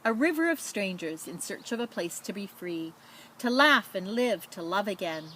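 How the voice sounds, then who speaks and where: A woman reading a children's picture book aloud in a measured, expressive storytelling manner, with spoken asides. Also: mid-range voice, slight background noise, rough recording. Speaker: storybook reader.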